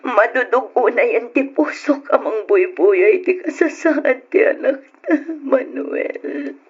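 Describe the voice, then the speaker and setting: A woman playing an anguished, devoted mother in an old-time Ilocano radio drama, pleading tearfully in drawn-out phrases. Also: a little high, expressive, slightly rough, heavily acted. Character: anguished, devoted mother of a gravely ill son. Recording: muffled, noisy old radio recording.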